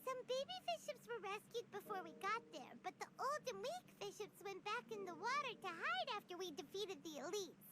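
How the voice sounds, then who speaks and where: A woman voicing a small, chatty, excitable female companion in a video game, who talks about herself in the third person with a high, squeaky, childlike voice. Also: brisk, few pauses, heavy background noise. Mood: worried. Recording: game audio with loud music.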